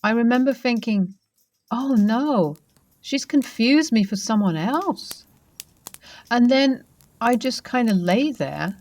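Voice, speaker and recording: A woman narrating true ghost stories in a soft, conversational way. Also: medium pitch. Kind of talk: storytelling narration.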